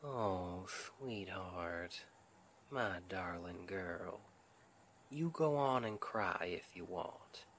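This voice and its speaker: A man playing a tender, reassuring Southern husband in an intimate ASMR roleplay, with a Southern US accent and a soothing, gentle, often half-whispered delivery. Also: low-pitched, lively intonation, drawn-out words, a little hiss or hum, exaggerated voice. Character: tender, reassuring Southern husband comforting his stressed wife.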